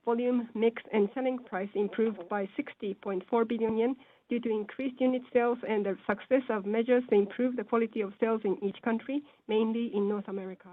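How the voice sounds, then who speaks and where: A woman giving a formal, scripted financial presentation in a measured, businesslike way over a conference call. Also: on the high side, no room sound. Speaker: presenter on an earnings call, speaking as or interpreting for a company executive. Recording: phone-like narrow-band conference call line.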